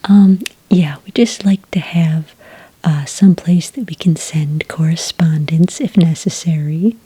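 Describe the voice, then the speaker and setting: A woman doing a soft-spoken ASMR roleplay as a polite, patient clerk, whispering gently close to the microphone. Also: low-pitched, dry recording, low-quality recording. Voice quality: whispery, breathy. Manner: gentle, polite, unhurried customer-service patter. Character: friendly, patient female registration clerk at a hunting agency.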